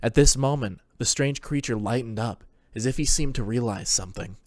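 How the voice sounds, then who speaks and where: A man's voice: mid-range voice, fairly quick, darkish tone, dry recording. A man reading scripted dramatic narration as the storyteller of a fantasy tale.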